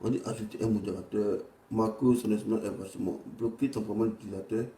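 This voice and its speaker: A man's voice: on the low side, steady tone, fast talker, warm tone. Echoey, rambling Ivorian man livestreaming. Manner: rambling, repetitive, blunt and crude. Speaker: livestream host. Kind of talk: informal livestream political commentary. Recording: echoey room, distant from the mic.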